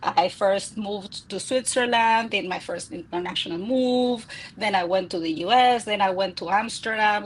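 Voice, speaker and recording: A woman's voice: medium pitch, slightly rough, poor audio. A middle-aged woman from Argentina with an Argentine accent, answering interview questions eagerly and often restarting her words as she goes. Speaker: guest, executive coach and author.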